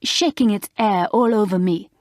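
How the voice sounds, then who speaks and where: A fussy, dramatic French schoolmistress with a high, drawn-out voice and a heavy French accent. She stretches her words out slowly. The sound is close and dry. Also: sing-song, no echo, exaggerated voice. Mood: fretful.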